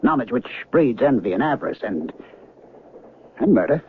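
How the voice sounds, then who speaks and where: An actor playing an earnest, disillusioned, restless man in 1970s radio drama, speaking theatrically and emphatically with halting pauses. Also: mid-range voice, very animated. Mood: grave, impassioned. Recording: thin phone-like old radio recording, noisy.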